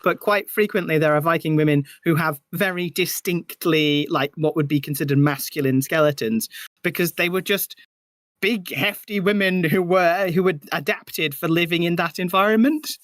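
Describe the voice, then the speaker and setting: Halting woman with a dry, close sound. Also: lowish voice, no room sound. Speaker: podcast guest. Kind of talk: casual discussion.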